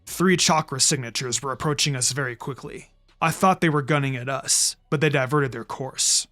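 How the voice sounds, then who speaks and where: A man voicing a stern, proud teenage ninja scout who speaks in a measured, aloof way for a fan fiction reading. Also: mid-range voice, bright, forceful tone, soft background music, pitched below the performer's own voice, lightly acted. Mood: cool, composed.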